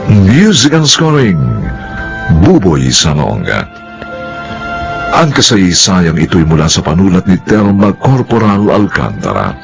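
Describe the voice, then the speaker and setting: A man with a very low, creaky voice narrates a radio drama. He is solemn and theatrical, with huge swings of pitch, over loud music.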